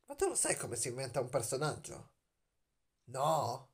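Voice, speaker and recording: A young man presents to camera as a theatrical explainer for children. His mid-pitched voice swings widely as he stretches words and poses questions dramatically. He is recorded on a phone in a small bedroom. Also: huge pitch swings, husky. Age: young adult. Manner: playful, dramatic storyteller with drawn-out words and questions. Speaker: video channel host. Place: small bedroom, lying on a bed indoors. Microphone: phone at arm's length.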